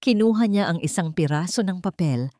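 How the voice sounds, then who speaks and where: A woman's voice: on the low side, lively intonation, a little fast, dark tone, no room sound, clean studio sound. A woman reading a scripted dramatic story as its narrator.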